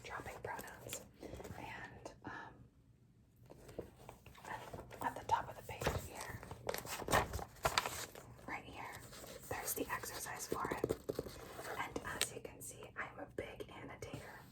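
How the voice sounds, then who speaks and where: A woman's voice: slow, long pauses, far from the mic. A young woman whispering an ASMR show-and-tell in a rambling, chatty way, full of fillers. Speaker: ASMR creator.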